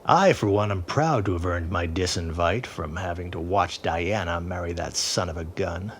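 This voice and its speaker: A deep-voiced, middle-aged father, talks with exasperated conviction. The delivery is that of a period audio drama, over faint background noise. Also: normal speed, a bit bright. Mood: disgruntled. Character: exasperated, principled father of a grown daughter.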